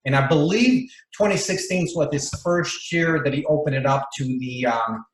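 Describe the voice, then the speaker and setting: A man's voice: on the high side, normal speed, slight background noise. Man telling a long story in a roomy space. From Bolivia. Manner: rambling, many fillers and restarts. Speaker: interview guest. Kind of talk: casual storytelling interview.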